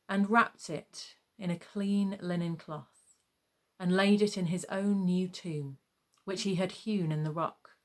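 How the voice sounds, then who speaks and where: A woman reading a scripted gospel narration in a measured, solemn manner. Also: fairly low pitch, a little mellow.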